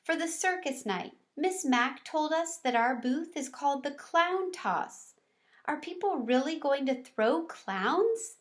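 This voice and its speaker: A woman reads as a gentle girl guinea pig in a storybook. She is timid and worried, with a soft, questioning, raised voice. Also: high-pitched, lively intonation, slow pace, voice pushed up.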